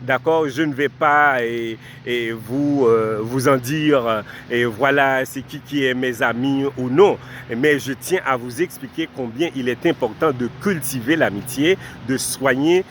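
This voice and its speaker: A man's voice: mid-range voice, measured pace, a bit bright, a little hiss or hum. Man giving earnest advice outdoors. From Haiti. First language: Haitian Creole. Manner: addresses viewers formally, repeats points for emphasis. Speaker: vlogger giving life advice. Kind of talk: direct-to-camera advice talk.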